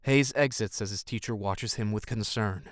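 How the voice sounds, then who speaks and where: A man's voice: fairly low pitch, normal speed, noisy background. A man reading scripted scene narration for an audio drama.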